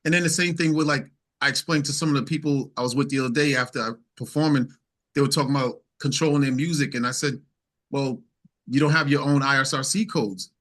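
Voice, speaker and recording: A man's voice: mid-range voice, fairly even intonation, fast talker, a bit bright. A man speaking casually as an interview guest and singer-songwriter, emphatic, repeating phrases and quoting conversations as he tells anecdotes.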